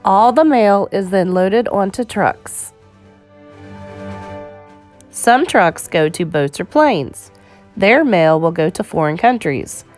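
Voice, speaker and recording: A woman reading an educational children's picture book aloud in a measured, clearly phrased storytime delivery. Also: mid-range voice.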